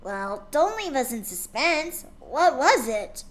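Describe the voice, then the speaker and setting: Brash, sporty young mare pony, punchy and slow-drawn, a woman doing the voice. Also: sits high, very animated, voice pushed up, exaggerated voice. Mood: impatient.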